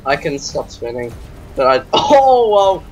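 Excitable young man with a cold. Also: a little high, unhurried, vocal fry, poor audio. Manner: joking, excitable, trash-talking. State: has a cold. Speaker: gaming channel host. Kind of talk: casual gameplay commentary. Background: game music.

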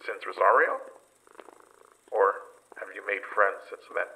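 An actor playing a weary investigator in a horror audio drama, husky and halting as he recounts danger. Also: fairly low pitch, animated, pushed and bright, exaggerated voice, low-quality recording. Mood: uneasy.

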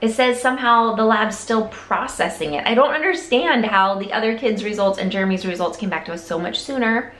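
A woman's voice: medium pitch, brisk, hardly any pauses, a bit bright, a little hiss or hum. A woman in her thirties, a mother vlogging casually to a handheld camera at arm's length, chatty and playful. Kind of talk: casual vlog chat. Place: indoors, store and home.

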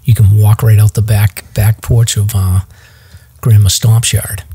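A man's voice: fairly low pitch, little pitch movement, unhurried, warm tone, breathy edge. A middle-aged man, hosting a podcast in casual, rambling chat. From Massachusetts. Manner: chatty, rambling with fillers. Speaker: podcast host.

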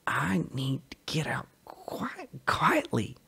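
A man's voice: on the high side, huge pitch swings, slow, creaky, pushed and bright, husky, pitched above the performer's own voice, heavily acted. A man voicing a defensive, flippant male smuggler in a story reading, hesitant and stammering as he pleads. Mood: nervous, pleading.

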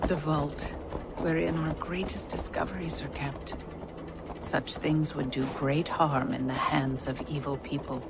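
Low-voiced, solemn giantess scholar. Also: female voice, fairly even intonation, measured pace, very noisy. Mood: wistful, solemn. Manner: earnest, solemn, formal. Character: grave, burdened giantess scholar, dutiful king's daughter. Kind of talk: video game dramatic acting. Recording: loud music and ambience behind.

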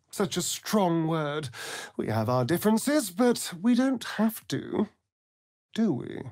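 An actor playing a sardonic, flirtatious vampire rogue in a fantasy video game, with an arch, theatrical, drawling delivery and sly asides. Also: male voice, high voice, sing-song, slow, darkish tone, no room sound, clean studio sound, full character voice. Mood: teasing, seductive. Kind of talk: video game character voice acting.

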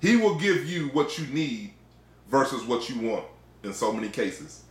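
A man's voice: medium pitch, big pitch swings, a little slow. A middle-aged American man preaching an informal sermon in an exhorting way, repeating phrases for emphasis. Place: small indoor room. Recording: echoey, roomy sound.

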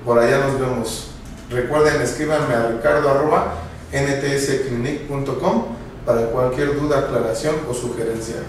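A man's voice: medium pitch, steady tone, normal speed, far from the mic, slight background noise. Earnest man in an echoey office. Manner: earnest, advising viewers directly. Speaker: clinic director giving nutrition tips. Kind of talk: direct-to-camera advice capsule. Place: bright office, seated at a desk. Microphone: camera at a distance. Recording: very echoey room, mic from afar.

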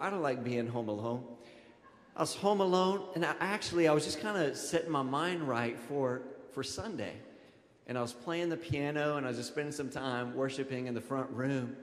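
High-voiced male pastor in an echoey hall. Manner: animated, informal, addressing the congregation directly. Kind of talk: conversational sermon with storytelling. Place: large auditorium stage, indoors. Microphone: handheld microphone. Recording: echoey hall with long reverb.

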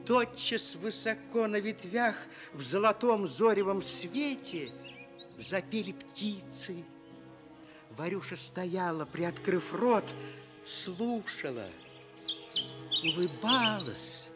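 A man narrating a fairy tale for Soviet radio, tender and expressive, with dramatic pauses. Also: sits high, music in the background. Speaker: storyteller.

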